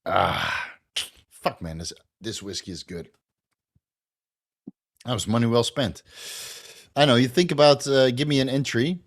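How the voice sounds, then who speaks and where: A man's voice: mid-range voice, unhurried, creaky, long pauses. A man in his forties from the Netherlands, with a slight Dutch accent, hosting a casual Q&A stream while tipsy, rambling loosely and swearing freely. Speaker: host. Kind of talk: casual livestream Q&A.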